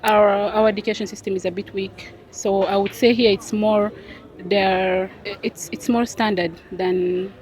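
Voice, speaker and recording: A woman's voice: medium pitch, fairly even intonation, darkish tone. Thoughtful young woman. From South Sudan. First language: Arabic. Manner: thoughtful, unhurried, with pauses and fillers. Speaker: street interviewee. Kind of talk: casual interview answers.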